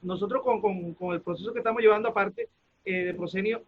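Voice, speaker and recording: A man speaks as a panelist. He builds his sentences haltingly, feeling for each word, and the audio is a little dull. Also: sits high. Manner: halting, searches for words. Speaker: theater practitioner and panelist. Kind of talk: casual panel discussion.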